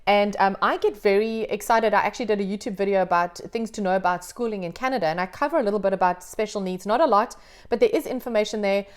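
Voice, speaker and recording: A woman's voice: mid-range voice, quick pace, clean tone. A woman hosting an interview, an upbeat yoga teacher who talks in an encouraging, reassuring way. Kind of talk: conversational interview.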